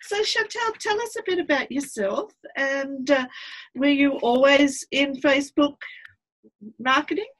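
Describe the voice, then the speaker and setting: A woman's voice: sits high, measured pace, low-quality recording. A woman hosting an interview, upbeat in her introductions and filling her questions with hesitant "uh"s. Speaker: interview host. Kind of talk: hosted interview.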